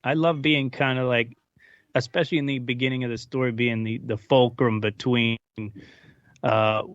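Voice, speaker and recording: A man with an average-pitched voice answers interview questions in a casual, conversational way. The sound is dry and a little lo-fi, with the top end dulled. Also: a bit bright, no echo. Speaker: voice actor being interviewed.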